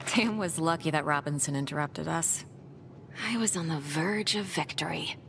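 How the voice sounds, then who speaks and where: A woman's voice: sits low, normal speed, creaky, noisy background. An actress playing a capable, curious woman who serves as a mission specialist in a scripted sci-fi video game. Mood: focused. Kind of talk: scripted video game acting, log narration and dialogue.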